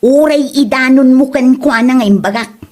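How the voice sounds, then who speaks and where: A woman playing a catty high-voiced gossip. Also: moderate pace, dark tone. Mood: snide. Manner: snide and gossiping. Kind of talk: comedic audio drama acting.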